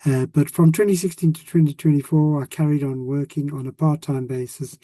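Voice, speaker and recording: A man in his sixties with a South African accent, a retired language teacher talking casually to former students, often pausing with ums and restarting sentences. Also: fairly high pitch, steady tone, mellow, no room sound. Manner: hesitant, many ums and restarts.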